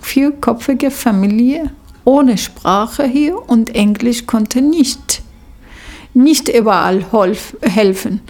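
A middle-aged woman, a native Arabic speaker, recounting her experience in accented, halting speech as she searches for words. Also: a little high, darkish tone. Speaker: social worker telling her experience. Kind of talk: spontaneous interview account.

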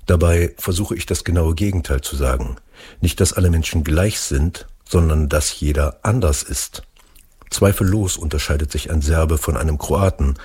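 Deep husky man reading aloud. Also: a little mellow. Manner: measured, deliberate reading. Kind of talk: reading a book excerpt aloud.

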